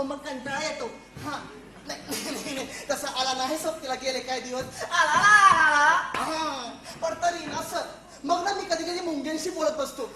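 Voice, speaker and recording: A woman playing a lonely male soldier in a comic stage play, excitable and rambling, stammering and repeating words. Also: high-pitched, natural voice. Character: jittery, lonely, talkative male soldier at a forgotten post. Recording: echoey stage recording with music.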